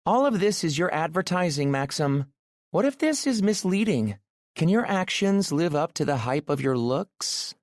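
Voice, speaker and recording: A man's voice: fairly high pitch, very animated, normal speed, no echo, studio quality, voice pushed up. A man voicing a shy, playful young woman who teases lightly but sounds a little nervous.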